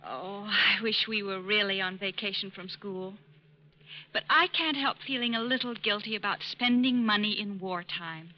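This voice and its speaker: An actress in a 1940s radio drama playing a modest, conscientious schoolteacher who is polite and concerned. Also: female voice, medium pitch, moderate pace, natural voice. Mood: hesitant, guilty. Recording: dull old radio recording.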